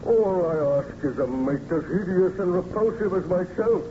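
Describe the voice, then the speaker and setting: An actor playing a hideous outcast monster, pleading and menacing in a solemn, declamatory old radio horror style. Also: male voice, high-pitched, animated. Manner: solemn, declamatory, drawn-out phrasing. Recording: noisy, muffled old radio recording.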